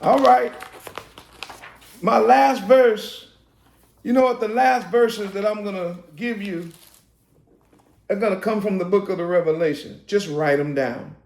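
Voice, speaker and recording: A man preaching a casual sermon, swinging from loud, laughing, shouted asides to slow, drawn-out scripture reading. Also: high-pitched, fairly even intonation, creaky, reverberant. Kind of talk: street-style sermon teaching.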